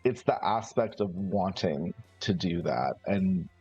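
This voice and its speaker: A man's voice: sits low, animated, takes it slowly, a little mellow, slightly rough, no room sound, soft background music. A man playing a roleplaying game, casually improvising a description of his character and searching for words as he goes. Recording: slightly dull online stream audio.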